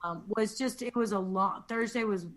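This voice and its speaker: A woman with a deep, raspy voice, a quilting teacher chatting casually as a guest. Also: moderate pace. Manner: chatty, tells anecdotes with quoted asides. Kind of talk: casual chat.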